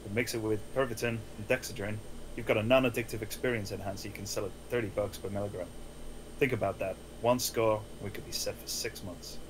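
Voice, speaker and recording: A man's voice: lowish voice, fairly even intonation, a little fast, noisy background, natural voice. A man playing an earnest, pleading schemer in a screenplay table read. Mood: persuasive. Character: earnest, pleading young schemer boyfriend, and a casual detective.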